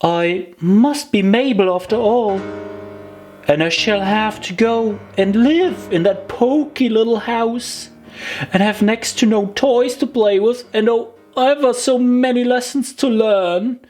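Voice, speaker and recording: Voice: mid-range voice, takes it slowly, darkish tone, soft background music, voice pushed up, close to an everyday voice. A storybook narrator voicing a forlorn, earnest little girl, plaintive and self-pitying in a children's tale.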